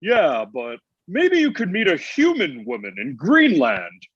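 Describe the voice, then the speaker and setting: Man playing a high-voiced, husky, blunt old Santa. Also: wildly swooping pitch, a little slow, fairly bright tone, dull audio, full character voice. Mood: frank. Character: Santa Claus, ancient, grumpy, easily distracted boss. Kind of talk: table read comedy acting.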